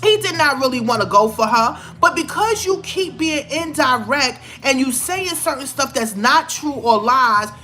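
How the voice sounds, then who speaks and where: A woman's voice: high-pitched, expressive, normal speed, few pauses, pushed and bright. A woman giving blunt, scolding celebrity gossip commentary in a vlog, with emphatic outbursts. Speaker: vlogger.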